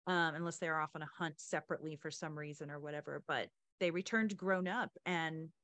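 Female podcast host, casual and conversational, slightly low voice in a dry recording. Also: fairly even intonation, a little fast, a bit bright, dry recording. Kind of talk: casual chat with story reading.

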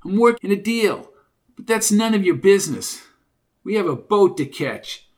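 Man playing a brash, high-pitched tough-guy crook. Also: lively intonation, moderate pace, a little mellow. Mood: defiant. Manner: blunt, snappy, slangy tough talk. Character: brash, suspicious, short-tempered male American crook.

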